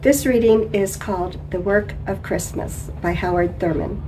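A woman's voice: mid-range voice, normal speed. A woman in her sixties reading a devotional poem aloud in a living room. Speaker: congregation member, reader. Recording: faint room noise.

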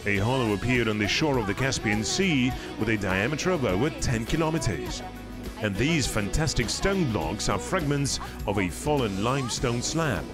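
Man, documentary voice-over, scripted narration, animated pitch, music bed. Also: normal speed.

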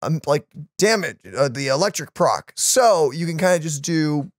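A man's voice: a little high, lively intonation, moderate pace, dry recording. A man in his twenties co-hosting a reaction video in casual, hedging chat, recorded close on a microphone in a home room. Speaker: reaction channel co-host. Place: small home room, indoors. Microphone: boom-arm studio microphone, close.

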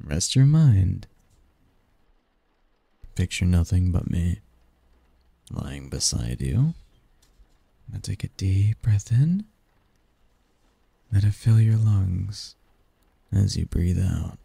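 A man performs a seductive male sleep demon in an intimate ASMR roleplay, low and very mellow. He speaks slowly and softly, with teasing, possessive devotion and formal, flirtatious phrasing. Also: lively intonation, gravelly, slightly rough, no room sound, exaggerated voice. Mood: soothing. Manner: flirtatious, formal wording, soft intimate delivery, chuckling.

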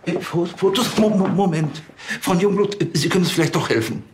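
A man's voice: sits high, a little fast, background noise, lightly acted. A man playing a pompous, easily delighted middle-aged police officer in a comedic crime drama, fussy and stammering. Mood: flustered, scheming.

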